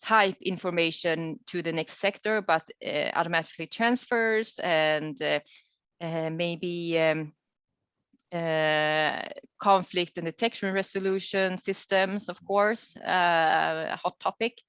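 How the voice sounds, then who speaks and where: A woman researcher answering questions in an informal webinar, speaking thoughtfully and hesitantly with pauses mid-sentence. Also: lowish voice, fairly even intonation, fairly bright tone, clean tone, dry recording. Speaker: researcher presenting a study as webinar guest. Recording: dull, lo-fi online call audio.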